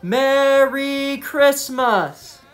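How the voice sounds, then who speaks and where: A man voicing a kindly, authoritative train conductor in a children's story, announcing in a measured way. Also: very high-pitched, a bit bright, soft background music, pitched above the performer's own voice, heavily acted. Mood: calling out. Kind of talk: storybook character reading.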